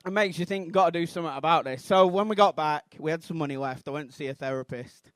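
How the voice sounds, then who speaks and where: A Mancunian man in his thirties doing a rambling, self-deprecating stand-up routine on a comedy club stage, bursting into shouted outrage. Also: fairly high pitch, fast, smooth, no room sound. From Manchester, England.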